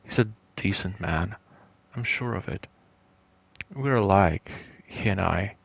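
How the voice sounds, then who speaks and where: A man voicing a gentle, earnest young girl from a visual novel, soft, polite and musing. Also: low voice, takes it slowly, no echo, exaggerated voice. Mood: soft, fond. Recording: clear background music behind the voice.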